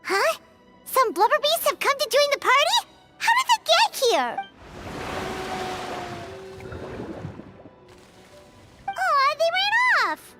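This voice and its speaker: Game casting: tiny excitable girl sidekick, squeaky, childlike, extremely high and lively in pitch. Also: a little slow, full character voice. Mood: excited, curious. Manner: expressive, exclaiming. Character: small childlike excitable floating companion girl. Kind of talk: video game character dialogue. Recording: music behind the voice.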